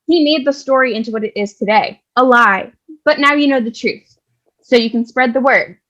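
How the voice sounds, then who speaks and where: Casting: actress as opinionated, theatrical busybody cleaning lady for comic stage reading; higher register, muffled recording. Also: normal speed, a bit bright, close to an everyday voice. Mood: smug, declaiming. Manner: emphatic, self-assured, theatrical. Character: chatty, opinionated cleaning lady Sister Lawrence, eccentric storyteller.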